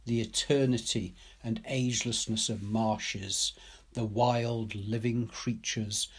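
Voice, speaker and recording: Male storybook narrator: husky, unhurried, measured literary delivery. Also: on the low side. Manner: measured, deliberate phrasing. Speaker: reader, teacher. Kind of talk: literary story read aloud.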